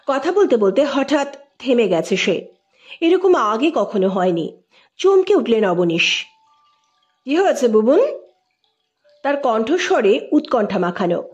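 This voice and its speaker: A woman's voice: fairly high pitch, a little mellow, muffled recording. A woman narrating an audio story as its host, in a scripted, dramatic storytelling style.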